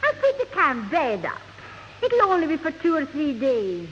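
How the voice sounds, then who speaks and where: Casting: welcoming, shrewd Scottish aunt, lively high voice, old muffled radio comedy. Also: female voice, normal speed. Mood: brisk, hospitable. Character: brisk, hospitable, canny Scottish aunt. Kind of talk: 1970s radio sitcom acting. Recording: muffled, noisy old radio, hall reverb.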